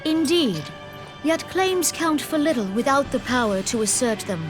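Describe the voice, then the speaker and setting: Composed, authoritative fantasy counsellor, higher-pitched voice. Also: female voice, lively intonation, over music. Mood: grave, assured. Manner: measured, formal, deliberate. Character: composed, authoritative woman counsellor briefing allies on imperial politics. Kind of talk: fantasy game cutscene acting.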